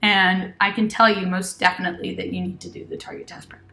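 A woman's voice: fairly low pitch, flat delivery, fast, bright, forceful tone. Woman, 20s, grew up in Argentina, Spanish first language, American-leaning accent; chatty webcam testimonial, lots of fillers. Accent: American-leaning English. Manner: chatty, many fillers and self-corrections, laughs often. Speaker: test-prep student giving a testimonial. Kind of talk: casual testimonial. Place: small indoor room with red wall.

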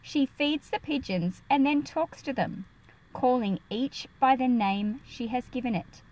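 Higher-voiced woman reading aloud to children. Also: measured pace, darkish tone, poor audio. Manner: careful, explanatory, enunciated for young listeners. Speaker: children's read-aloud host and teacher. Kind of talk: picture book read-aloud with explanation for children.

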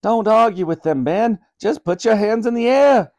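A man's voice: high voice, very animated, moderate pace, mellow, pitched above the performer's own voice. A man voicing a sweet, flustered old grandmother in a storybook reading, with genteel old-fashioned exclamations. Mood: urgent.

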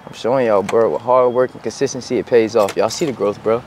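A young man, chatting casually to viewers in a slangy, relaxed way as he walks through a store and a parking lot. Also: fairly low pitch, fast, a little hiss or hum. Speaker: vlogger. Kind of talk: casual vlog chat. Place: outdoors in parking lots and inside a big store.

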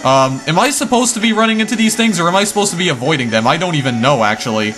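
Male let's-player, slightly hoarse, casual exclamation-heavy commentary, faint music underneath. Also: fairly high pitch, animated, fast talker. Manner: chatty, easily startled, lots of exclamations. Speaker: let's play gamer.

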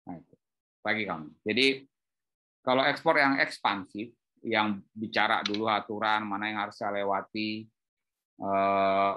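A middle-aged man giving an informal, animated explanatory talk on a video call, telling stories and acting out dialogue. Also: fairly low pitch, lo-fi sound. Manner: animated storytelling, acts out dialogue. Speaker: speaker at an export training webinar. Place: indoor room on a group video call.

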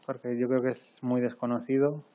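Male event moderator, medium pitch, even intonation, informal delivery on dull call audio. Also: fairly quick. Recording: dull sound relayed over video call.

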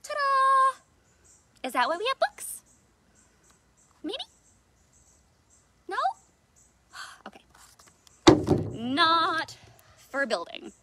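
A woman's voice: very high voice, very animated, very slow, long pauses, heavy background noise. A woman in her thirties hosting a children's read-aloud outdoors, theatrical and playful, full of questions. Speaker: children's librarian, storytime host. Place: outdoors in a leafy backyard.

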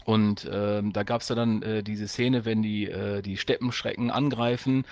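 A middle-aged man in a casual podcast chat, speaking haltingly with many hesitation sounds. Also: lowish voice, fairly even intonation, darkish tone, no room sound. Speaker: audio drama sound editor.

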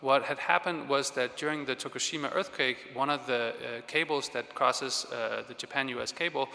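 A man in his thirties giving a conference talk on stage, halting, with many fillers and restarts. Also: medium pitch, vocal fry, pushed and bright. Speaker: conference speaker. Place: large hall, on stage. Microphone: stage microphone. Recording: reverberant hall sound.

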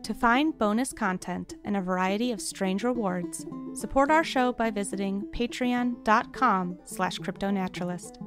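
A woman announcing podcast credits in a scripted read. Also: medium pitch. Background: plucked guitar music.

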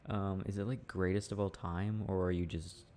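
A young man speaking in a playful, affectionate, halting murmur, like an intimate, casual ASMR boyfriend roleplay, with a deep gravelly voice. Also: little pitch movement, a little mellow. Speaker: boyfriend roleplay audio performer. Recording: faint background noise.

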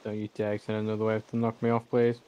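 A man's voice: on the low side, completely flat delivery, fast talker, smooth, lo-fi sound. A young man who speaks English as a second language, giving casual let's-play commentary, rambling, correcting himself and swearing at the game. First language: not English. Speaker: gameplay streamer.

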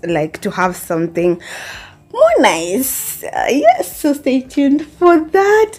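A woman in her twenties with a Kenyan accent, chatting casually and playfully to a handheld camera in her small apartment. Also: lowish voice. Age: 20s. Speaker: lifestyle vlogger. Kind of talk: casual vlog chat, mixing English with Swahili. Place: small tiled studio apartment. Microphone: phone or camera at arm's length.

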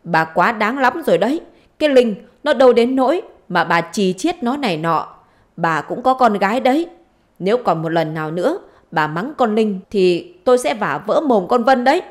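A stern middle-aged husband from a village tale, voiced by a woman narrator. He scolds angrily and threatens, with animated, swinging intonation. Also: medium pitch, normal speed, natural voice. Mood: threatening. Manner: gruff-worded scolding and threats.

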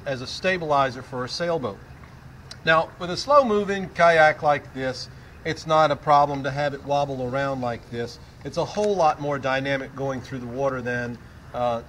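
A man's voice: mid-range voice, fairly bright tone, a little hiss or hum. An older man standing in shallow water outdoors, explaining a boat kit in a casual, conversational way with frequent fillers. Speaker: product demonstrator. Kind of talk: casual product demonstration. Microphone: camera mic at medium distance.

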